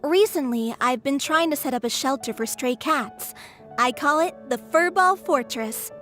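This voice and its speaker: A woman's voice: high voice, expressive, normal speed, fairly bright tone, soft background music. A woman voicing an earnest, anxious young woman who rescues animals, speaking politely and eagerly as a game character. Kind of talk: English game dub voice acting.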